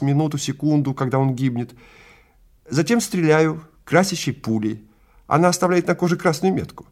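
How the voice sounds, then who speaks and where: Man voicing an eager scout, pitched high. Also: a little mellow. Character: eager, precise male expedition scout. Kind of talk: Russian audio drama acting.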